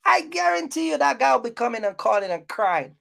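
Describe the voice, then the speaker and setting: High-voiced woman, blunt and emphatic. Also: sing-song, a little fast, vocal fry, a bit bright. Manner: blunt, scolding, emphatic and repetitive. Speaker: YouTube host giving trucking business advice. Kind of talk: casual unscripted rant to viewers.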